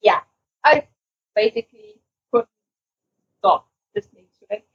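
A woman chatting casually as a podcast co-host, enthusiastic and speaking in halting phrases. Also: fairly high pitch, animated, vocal fry, slightly rough. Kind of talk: casual podcast chat, recommending audio stories. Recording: muffled, lo-fi voice recording.

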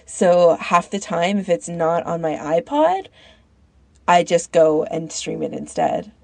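A woman's voice: fairly low pitch, lively intonation, slow pace, creaky, no echo. A woman co-hosting a podcast, talking in a casual conversational discussion. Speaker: podcast host.

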